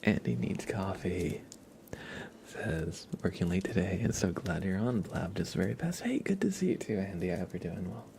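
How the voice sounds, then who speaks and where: A man's voice: sits low, expressive, moderate pace, creaky, noisy background. A man hosting an ASMR livestream, chatting casually and playfully with viewers in a whispery, slightly stuffed-up voice close to the mic. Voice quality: stuffy nose. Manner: whispery, playful, teasing banter.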